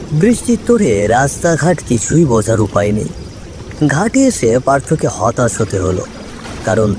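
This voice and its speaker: A man tells a ghost story with suspense and drama. His voice moves widely in pitch at a medium register, and clear sounds of rain and river water run behind him. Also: background noise. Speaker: storyteller, narrator.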